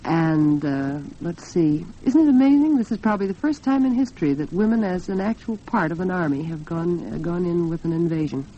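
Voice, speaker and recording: A woman's voice: lowish voice, lively intonation, brisk, hardly any pauses. An American woman hosting a 1940s radio talk program, chatting in an earnest, homely way. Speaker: radio host. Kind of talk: 1940s radio talk with scripted sponsor chat. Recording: dull, band-limited 1940s radio recording.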